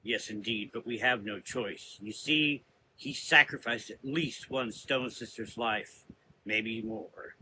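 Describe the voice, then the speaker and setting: A man's voice: lowish voice, slow pace, sharp tone, slight background noise, exaggerated voice, poor audio. A man playing a brooding, cryptic adventurer with a grave, formal manner in a gothic horror audio drama. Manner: solemn, cryptic.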